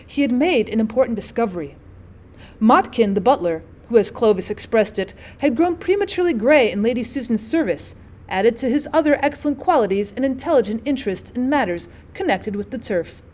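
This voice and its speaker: Female audiobook narrator, teacher reading literature aloud, voice on the high side. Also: animated, brisk, darkish tone.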